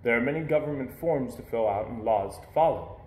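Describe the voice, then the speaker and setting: Man reading as a steady, reassuring storybook dad. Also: mid-range voice, brisk, slight background noise, pitched below the performer's own voice, lightly acted. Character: steady, reassuring father of a young boy. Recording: echoey room.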